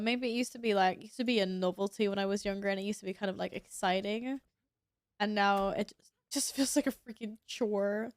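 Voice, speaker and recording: Sniffly young woman streamer, casual chatter, smooth voice, dry close mic. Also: mid-range voice, no echo. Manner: chatty, reacts to gameplay, reads chat aloud. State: sniffly, sneezing. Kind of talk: casual gaming stream chat.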